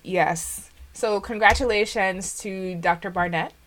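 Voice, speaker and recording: A woman co-hosting a podcast in casual chat, talkative and quick with asides. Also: medium pitch, fairly bright tone. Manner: chatty, sprinkles in "like" and quick asides. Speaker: podcast co-host.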